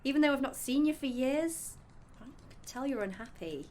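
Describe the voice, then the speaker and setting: Actress as higher-pitched, supportive female friend. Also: lively intonation, normal speed, darkish tone, slight background noise. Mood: friendly, concerned. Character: supportive, perceptive old friend, a woman. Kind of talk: naturalistic audio drama acting.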